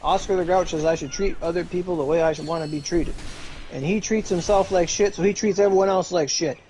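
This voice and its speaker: A man's voice: sits high, steady tone, brisk, mellow, slight background noise, low-quality recording. A man streaming, chatting casually in a rambling way full of fillers and restarts.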